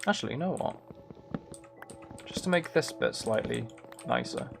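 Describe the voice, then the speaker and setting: Male streamer, medium pitch, soft-spoken and musing, casual think-aloud game chat over background music. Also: animated, moderate pace, gravelly. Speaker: livestream host. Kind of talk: casual gaming livestream chat, thinking aloud while building.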